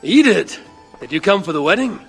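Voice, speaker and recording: An actor playing a blunt, hot-headed male Viking warrior in a video game cutscene, husky and dryly terse. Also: high voice, big pitch swings, fairly quick, soft background music, exaggerated voice. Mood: calm.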